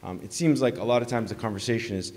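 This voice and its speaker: Guy asking a panel question, low voice, halting, echoey hall. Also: darkish tone. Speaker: audience member asking a question. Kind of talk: extended question at a panel discussion. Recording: reverberant conference hall.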